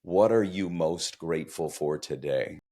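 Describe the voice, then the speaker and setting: A man's voice: sits low, unhurried, mellow. A man hosting a podcast interview, chatty and enthusiastic, asking long winding questions. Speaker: podcast host.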